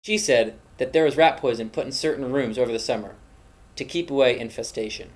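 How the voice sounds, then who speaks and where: A man's voice: medium pitch, a little fast. A young man hosting a mock safety video, giving solemn, preachy advice in an earnest scripted narration. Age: young adult. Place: dorm room indoors. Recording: echoey room.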